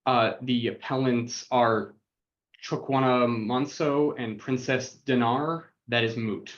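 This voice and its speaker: A man's voice: mid-range voice, steady tone, slow, lo-fi sound. Formal man reading a case list. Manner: formal, methodical, reads numbers and addresses. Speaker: city attorney.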